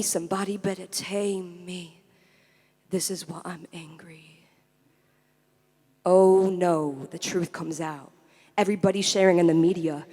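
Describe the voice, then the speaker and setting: A woman's voice: medium pitch, darkish tone. A woman performing intense, confessional spoken word poetry in a rhythmic delivery. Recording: long hall reverb.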